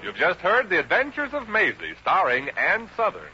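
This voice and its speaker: Male American announcer, lively scripted 1950s radio delivery, dull vintage sound. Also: high voice, moderate pace. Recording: muffled 1950s radio recording with music.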